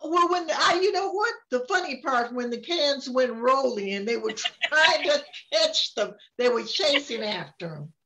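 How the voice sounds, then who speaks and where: An older woman reading a rhyming picture book aloud in a gentle, unhurried way, often chuckling. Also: sits high, expressive, noisy background.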